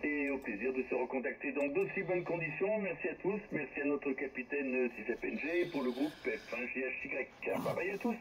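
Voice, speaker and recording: A man's voice: mid-range voice, animated, brisk, few pauses. A man chatting casually as an amateur radio operator, rambling and hesitant, over a shortwave transmission. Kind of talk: casual ham radio chat. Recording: dull, lightly noisy radio link. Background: faint radio static.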